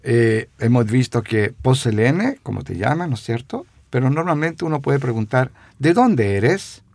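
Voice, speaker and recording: A man giving a radio language lesson, repeating phrases slowly with hesitant fillers. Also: mid-range voice, lively intonation, darkish tone, dry recording. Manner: slow, repeats phrases for dictation; stumbling fillers.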